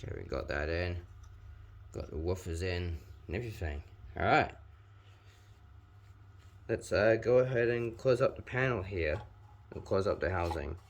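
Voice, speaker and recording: Young NZ guy, 19, putting on a very deep, fry-heavy voice for a casual how-to vlog. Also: animated. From New Zealand. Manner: deliberately putting on an extra-deep voice; chatty, filler-heavy, talks while working with his hands. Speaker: vlogger. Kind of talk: casual how-to narration while working.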